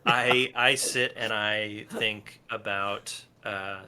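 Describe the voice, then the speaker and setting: A man talking casually as an interview guest, thinking out loud in a halting, rambling way with repeated false starts. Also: fairly low pitch, steady tone, clear, resonant tone. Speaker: guest musician and film and TV composer.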